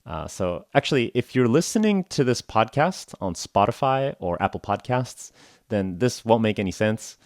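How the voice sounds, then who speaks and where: A man's voice: on the low side, very animated, normal speed, dry recording, clean studio sound. A young American man hosting a podcast for English learners, talking casually with lots of "uh" and "like" and self-deprecating jokes. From United States (Oklahoma). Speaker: podcast host.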